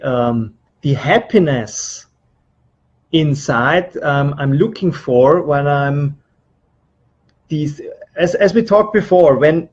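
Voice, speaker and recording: A man's voice: fairly high pitch, a little mellow. A man from Austria with an Austrian accent answering interview questions hesitantly, repeating words as he searches for the next. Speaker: trainee being interviewed, business coach. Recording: dull, lo-fi sound.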